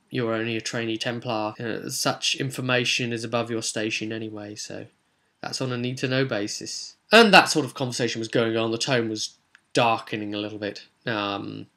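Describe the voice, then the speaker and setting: Bright-voiced man recapping a tabletop game. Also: lowish voice, gravelly. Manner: conversational storytelling, acting out characters' remarks. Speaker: host and game master. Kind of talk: recapping a tabletop roleplay session.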